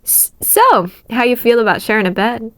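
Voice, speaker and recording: A woman's voice: fairly high pitch, very animated, moderate pace, a bit bright, dry recording, pitched above the performer's own voice. Woman voicing a cheeky, confident teenage girl. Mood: affectionate.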